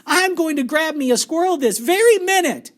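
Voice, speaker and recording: A man putting on a bratty little girl in a storybook reading, shrill and whining. She shouts every demand at the top of her voice, and her pitch leaps wildly. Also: sing-song, normal speed. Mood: shrieking demand. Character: spoiled shrieking young girl, demanding.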